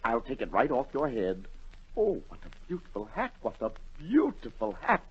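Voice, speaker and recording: A male actor playing a vain, excitable storybook king in a 1940s radio drama, theatrical and imperious. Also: medium pitch, normal speed, slightly rough. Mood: coaxing. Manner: theatrical, imperious, swinging from hushed awe to outbursts. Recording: dull, lo-fi 1940 radio broadcast.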